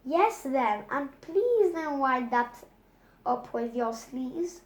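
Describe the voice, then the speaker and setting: A young boy voicing a firm, insistent mother from a storybook, brisk and scolding. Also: extremely high pitch, expressive, heavily acted. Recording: roomy, echoing home recording.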